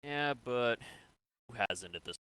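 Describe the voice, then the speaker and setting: A man playing a patient, reassuring comrade in a sci-fi game roleplay, asking questions in a measured, coaxing way. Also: mid-range voice, expressive, pushed and bright, slight background noise. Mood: calm. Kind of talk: improvised online roleplay acting.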